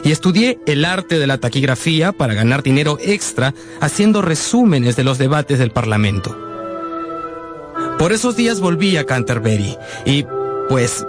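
A heartfelt male narrator of a radio drama, recalling his youth. His voice is fairly high and widely varied in pitch, telling the story expressively over music. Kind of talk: scripted first-person radio drama narration.

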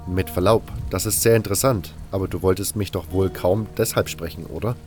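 A man's voice: deep voice, brisk, darkish tone, natural voice. A man playing a wary camp member who narrates his own story in a German-language fan audio drama, with music underneath. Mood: guarded, reflective. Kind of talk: first-person audio drama narration with dialogue.

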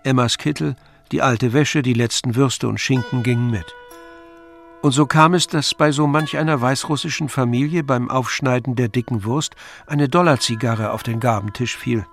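A man's voice: medium pitch, normal speed, creaky. A man reading scripted narration for a radio drama. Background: music.

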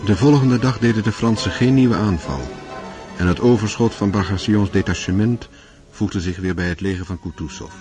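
A man narrating a literary radio drama in a measured storytelling manner. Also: lowish voice, natural voice. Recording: music under the voice. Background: music.

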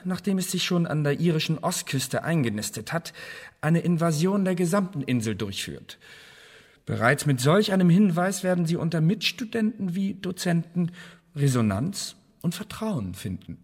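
An actor playing a meticulous, bureaucratic secret service officer in a German radio play, talking in a precise, officious and formal way. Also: male voice, on the high side, animated, normal speed. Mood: explanatory. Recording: reverberant hall-like room.

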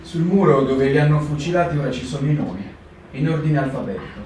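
A man giving a quiet, fragmented descriptive reading in a radio theatre piece. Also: fairly high pitch, animated, fast talker, heavy reverb, distant mic, background noise. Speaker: reader. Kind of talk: performed literary reading.

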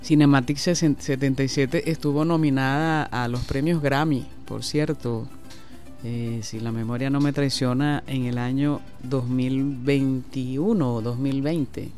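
A man hosting a radio show from a studio, explaining at length and pausing often on fillers. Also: mid-range voice, fairly even intonation, darkish tone, soft background music. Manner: slow, explanatory, halting with fillers. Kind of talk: radio talk and interview.

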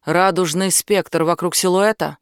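A woman's voice: on the low side, fairly even intonation, unhurried, smooth, no room sound, studio quality. A woman reading an audiobook, voicing a blunt, commanding and protective man who explains things firmly. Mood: firm, concerned. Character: angry, protective British man, outdoorsy and knowledgeable. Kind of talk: audiobook character dialogue.

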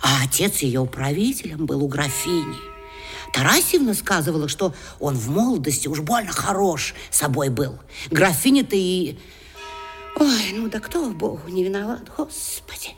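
A woman's voice: fairly low pitch, animated, normal speed. An actress playing a pious, deferential common woman in a period radio drama, sighing prayers in a folksy way. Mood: confiding, deferential. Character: pious, plain-spoken peasant-like common woman, lamenting. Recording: radio drama with faint music behind.

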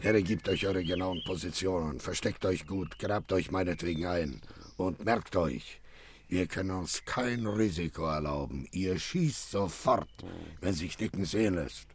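An actor playing a commanding, menacing gang boss giving orders in a radio crime comedy. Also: male voice, deep voice, slightly rough. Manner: deliberate, barking orders. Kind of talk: 1950s German radio comedy acting.

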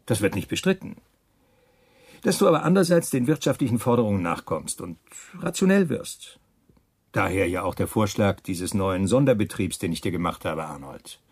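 A pushy wartime schemer in a radio drama. His voice is fairly low and raspy, with a close, dry sound. He is chummy and persuasive, with menace underneath. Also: no room sound, exaggerated voice. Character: smooth-talking, pushy male wartime schemer, chummy and veiledly threatening. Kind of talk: German radio play acting.

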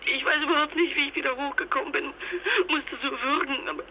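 A woman's voice: sits high, little pitch movement, fast talker, pushed and bright, a little hiss or hum, rough recording. A woman acting a distraught young mother in a German radio drama, stammering and repeating herself in broken phrases. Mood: anguished, breaking down. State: distraught, shaken. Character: traumatized young mother who survived a capsized boat.

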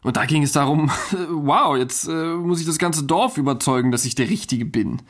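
A man's voice: on the high side, moderate pace, natural voice. A man playing an earnest, affable foreign husband in China, reminiscing conversationally and searching for words in a documentary radio play. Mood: animated recollection.

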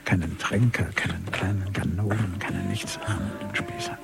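An actor playing a grim, vengeful general in a radio crime drama, delivering a measured, menacing inner monologue. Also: male voice, low voice, steady tone, gravelly, over music. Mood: grim, resolved. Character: grim, vengeful army general, bereaved father.

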